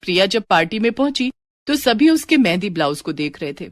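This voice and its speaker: A woman's voice: medium pitch, big pitch swings, brisk, dry recording. A woman storyteller reading a scripted story as narration.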